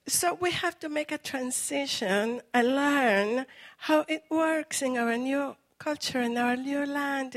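A middle-aged woman from Costa Rica, a native Spanish speaker with a Costa Rican Spanish accent, preaching a sermon with animated storytelling and asides to her listeners. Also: fairly high pitch.

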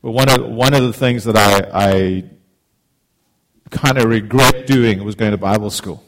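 A man's voice: lowish voice, a little slow, fairly bright tone, rough recording. A middle-aged man from Zimbabwe, giving an informal, heartfelt sermon as a guest preacher, often repeating words as he starts a phrase.

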